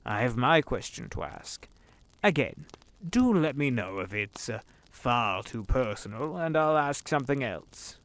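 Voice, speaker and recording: A man voicing the Devil as a sly, smooth-talking businessman in an audio drama, drawling and knowing. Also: mid-range voice, very animated, a little slow, fairly bright tone. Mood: amused. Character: the Devil posing as suave rich businessman, middle-aged man. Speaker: voice actor. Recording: with background music.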